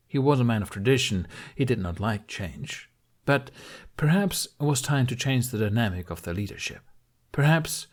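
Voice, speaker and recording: A man reading scripted story narration as an audiobook narrator. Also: lowish voice.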